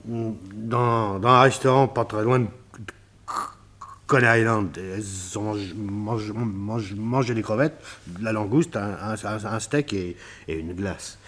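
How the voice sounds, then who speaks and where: A man's voice: fairly low pitch, measured pace, a bit bright. An actor playing a nervous, sheepish man in a detective radio drama, stammering and repeating his words as he hesitates. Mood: sheepish, hesitant.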